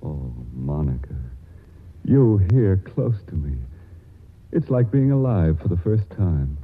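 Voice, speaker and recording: A lovesick, feverish young scientist; low, husky, halting, tinny 1940s broadcast sound. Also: male voice, big pitch swings, exaggerated voice. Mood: intense. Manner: halting, intense confession. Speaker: actor. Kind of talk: 1940s radio acting. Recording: tinny old radio broadcast.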